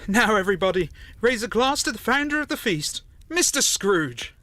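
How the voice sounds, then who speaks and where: Man playing a gentle father. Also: high voice, very animated, normal speed, slight background noise, full character voice. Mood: mildly reproving. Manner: gentle, mild. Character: gentle, devoted Victorian clerk and father. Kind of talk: radio drama acting.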